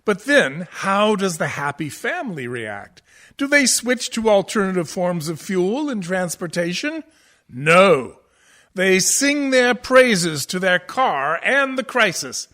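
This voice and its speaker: An actor playing a pompous, erudite scholar of musical theater who lectures pretentiously, in a comic radio play. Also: male voice, high-pitched, big pitch swings, slow, sharp tone, full character voice. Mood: smugly lecturing.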